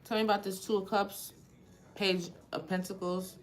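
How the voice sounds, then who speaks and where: A woman's voice: medium pitch, little pitch movement, moderate pace, slight background noise, poor audio. A Haitian-accented woman from Haiti doing a live tarot reading, casual and profane, asking the cards questions aloud as she thinks. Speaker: tarot reader.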